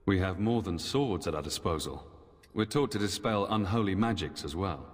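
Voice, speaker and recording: A man voicing a weary, dour Templar knight commander with formal, measured speech in a fantasy video game. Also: low-pitched, animated. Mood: weary, resigned. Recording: long echoing hall reverb.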